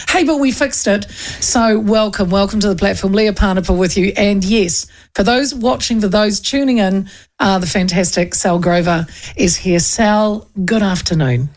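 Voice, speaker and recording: A woman hosting a casual chat show, bubbly and talkative, laughing often and restarting her sentences. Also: fairly high pitch. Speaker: radio and online show host. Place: small studio.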